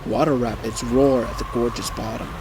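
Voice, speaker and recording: A man reading scripted story narration in a measured, descriptive manner. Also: medium pitch, fairly even intonation, music in the background, poor audio.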